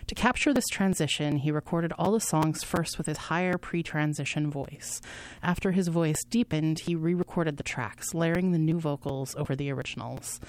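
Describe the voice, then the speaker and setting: A woman hosting a community radio music show, relaxed, with small slips and restarts as she introduces songs. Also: low-pitched, dry recording. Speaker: radio host. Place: radio studio.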